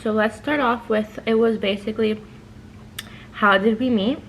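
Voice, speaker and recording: A woman's voice: a little high, fairly even intonation, normal speed, a little hiss or hum, low-quality recording. Chatty young woman hosting a vlog. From New York, raised in New Jersey. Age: 20s. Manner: chatty, playful, swears freely. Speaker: channel host. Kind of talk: casual couples Q&A chat.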